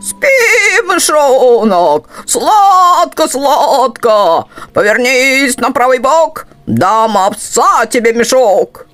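A woman's voice: sits high, big pitch swings, drawn-out words, fairly bright tone, pitched above the performer's own voice, exaggerated voice. A woman voicing a hearty mare nanny in a storybook, boisterous and whinnying.

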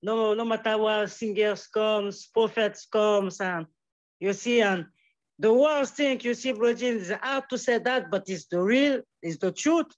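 Man, halting English, dull audio. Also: sits high. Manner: halting, searching for English words, Jamaican turns of phrase. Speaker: guest musician. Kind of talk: casual conversational interview.

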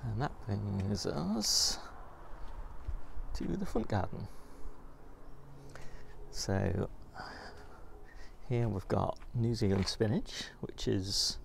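A man's voice: lowish voice, very animated, slow pace, long pauses. A middle-aged man giving a casual, unscripted garden tour in an understated way, musing aloud as he walks outdoors. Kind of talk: casual unscripted vlog narration while walking. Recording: clear outdoor background noise.

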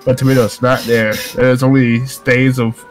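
A man reading game narration aloud in a casual group playthrough, jokey, excitable and prone to swearing. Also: mid-range voice, moderate pace. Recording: music under the voice. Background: game music.

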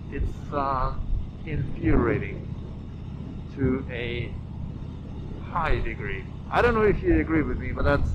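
A man rambling casually and haltingly, thinking aloud as he vlogs from a moving motorcycle. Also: fairly high pitch. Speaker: motovlogger. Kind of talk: casual rambling vlog while riding a motorcycle. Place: outdoors on a moving motorcycle on a road. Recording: muffled helmet audio, loud wind and engine noise.

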